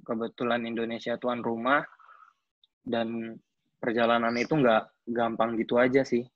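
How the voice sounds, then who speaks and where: Young guy in his 20s talking about himself, lots of ums and restarts, pretty monotone, muffled dry audio. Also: medium pitch, normal speed, no room sound. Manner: hesitant, with frequent fillers and restarts. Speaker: athlete speaking at a coaching clinic. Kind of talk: casual personal account.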